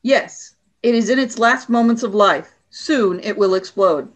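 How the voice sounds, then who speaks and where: A woman's voice: mid-range voice, measured pace, lightly acted. A woman playing a cold, imperious alien warrior commander in a radio play, speaking in a stern, formal, commanding way. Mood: cold, severe.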